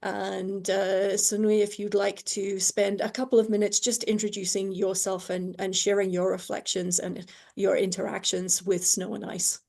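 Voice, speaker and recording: A woman moderating a panel on a video call, sharing a personal reflection in a measured way. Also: medium pitch, level tone, creaky, darkish tone. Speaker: moderator, host.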